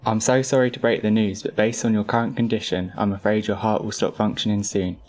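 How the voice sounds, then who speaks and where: A man playing an apologetic doctor in an amateur audio drama, speaking in a formal, measured way. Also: lowish voice, level tone, darkish tone, close to an everyday voice. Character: apologetic male doctor delivering bad news.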